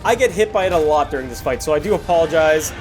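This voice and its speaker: High-voiced man doing casual game commentary. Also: expressive, quick pace, poor audio. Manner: apologetic, digressive, often backtracking to earlier points. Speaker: gaming video host. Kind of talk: casual gameplay commentary over recorded footage.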